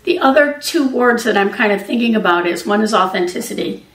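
A woman's voice: medium pitch, expressive, a little fast, a bit bright. An older woman interviewing a guest, conversational and thinking aloud as she asks questions. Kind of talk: panel interview. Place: meeting room in front of a large screen. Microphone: far camera mic. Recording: echoey room from far off.

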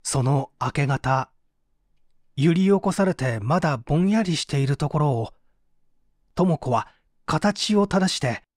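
A man's voice: a little high, expressive, a little slow, pauses often, no room sound, clean studio sound. A man playing an anguished painter confessing to an interrogator in a polite, emotive radio-drama reading. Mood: remorseful, subdued. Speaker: narrator and reader.

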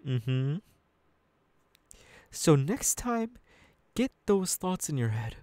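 A man playing a menacing, unhinged young supervillain boss in an ASMR audio roleplay, threatening his underlings slowly and deliberately. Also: on the high side, very animated, darkish tone, dry recording, heavily acted. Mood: threatening anger.

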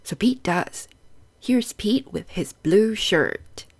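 A woman's voice: mid-range voice, animated, takes it slowly, gravelly, slightly rough. A woman hosting a children's storybook read-aloud, explaining things in simple, instructive phrases and putting questions to young listeners.

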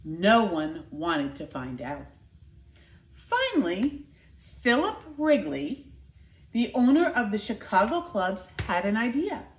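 Woman, middle-aged, children's read-aloud storyteller. Unhurried, expressive delivery with emphasized words, roomy home recording. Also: mid-range voice. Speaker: school nurse reading to children. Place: indoor living room by a fireplace. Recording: echoey living room.

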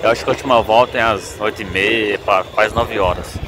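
A man's voice: lowish voice, fairly quick, noisy background. A man in his thirties casually narrating a walking vlog on a phone outdoors at an evening event. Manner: relaxed, chatty narration while walking. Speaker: vlogger. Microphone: phone held by hand. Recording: outdoor phone audio, loud music and crowd. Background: crowd chatter and loud music.

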